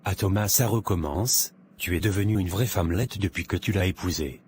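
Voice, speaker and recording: A man playing an irritable, self-assured and covetous married man in an animated drama, with a dismissive, grumbling manner. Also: low-pitched, fairly quick, a little hiss or hum, lightly acted. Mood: mocking, irritated. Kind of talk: scripted animated drama dialogue.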